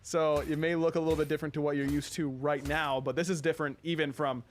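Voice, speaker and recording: A man hosting a casual video game showcase, talking in an upbeat, enthusiastic and halting way with music behind him. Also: a little high, little pitch movement, slight background noise. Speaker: livestream host.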